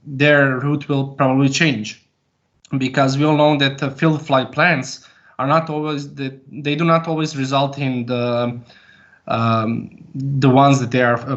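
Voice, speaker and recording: Halting man on a muffled call. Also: mid-range voice, fairly bright tone. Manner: halting, explanatory, with long hesitations. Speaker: PhD candidate defending a thesis. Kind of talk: formal academic question answering. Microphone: video call. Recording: muffled online video call audio.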